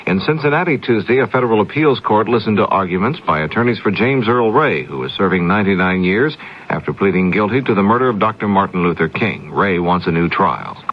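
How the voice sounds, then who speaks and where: A man's voice: fairly low pitch, animated, brisk, dull audio, a little hiss or hum. A man reading a scripted 1970s radio news bulletin as a newscaster, on an old AM radio recording.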